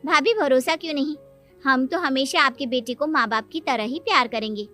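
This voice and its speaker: A woman's voice: sits high, normal speed, pushed and bright, faint music behind, voice pushed up. A woman voicing an affectionate, devoted young aunt in a cartoon moral story, earnest and eager.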